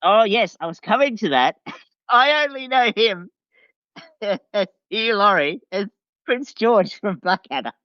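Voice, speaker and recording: A man's voice: sits high, expressive, takes it slowly, no room sound. A man chatting casually as a podcast guest, informal and enthusiastic, on a slightly lo-fi recording. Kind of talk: casual chat.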